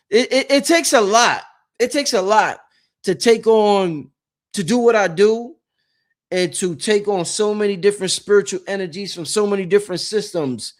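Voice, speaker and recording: A man in his late thirties talking casually to his viewers about spiritual matters, rambling and repeating phrases for emphasis. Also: high voice. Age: late 30s. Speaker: host of a spirituality channel.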